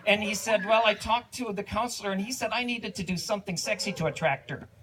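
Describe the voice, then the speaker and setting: A man's voice: high voice, quick pace, heavy background noise, lightly acted. A man playing Lars, a folksy Norwegian-American from North Dakota, telling marriage jokes with an Upper Midwest accent. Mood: deadpan. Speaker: wedding entertainer. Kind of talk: comic duo routine at a wedding.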